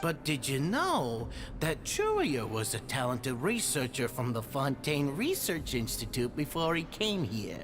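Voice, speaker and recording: Smug teasing prison sidekick from a video game, super swoopy voice, music behind. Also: male voice, medium pitch, huge pitch swings, unhurried, hardly any pauses. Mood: gossipy. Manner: sly, playful, theatrical. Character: breezy, teasing male inmate, smug gossipy sidekick.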